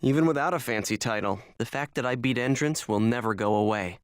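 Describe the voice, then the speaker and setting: A man's voice: medium pitch, brisk, clean tone, no room sound, clean studio sound, lightly acted. A man voicing a brusque young male player-hero in a game, curt, dismissive and sarcastic.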